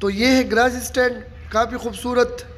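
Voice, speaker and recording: A man's voice: extremely high pitch, moderate pace. A man walking through a stadium construction site, steadily narrating updates and pointing things out to viewers. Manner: steady informal commentary, repeats "you can see". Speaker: vlogger giving site updates. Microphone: handheld phone camera. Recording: long reverb, faint site noise.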